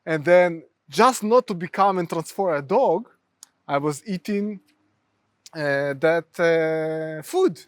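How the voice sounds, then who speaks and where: Man, Ukrainian accent, high voice, dry close sound, hesitant storytelling with lots of "uh". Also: no room sound. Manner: halting, many fillers, non-native phrasing. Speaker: guest, therapist. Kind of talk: storytelling in conversation.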